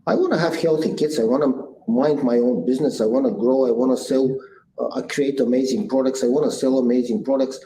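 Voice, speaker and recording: A man's voice: mid-range voice, a little fast, mellow. A man in his sixties from Bulgaria with a Bulgarian accent, a guest entrepreneur answering interview questions in an earnest, thoughtful way, with many "uh" fillers and restarts. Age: 60s. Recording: echoey room with faint noise.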